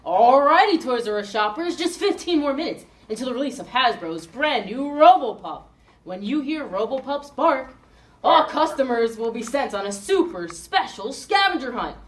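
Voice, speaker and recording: A woman acting as a cheery store employee making bright, salesy announcements to shoppers. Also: high voice, animated, normal speed. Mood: chirpy. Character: chirpy toy-store employee with a megaphone voice.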